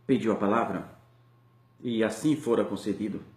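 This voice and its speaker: A man's voice: on the low side, normal speed, darkish tone. Male preacher, Brazilian Portuguese accent, fervent swelling delivery, echoey church sound. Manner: impassioned, rising and falling preaching. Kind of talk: sermon.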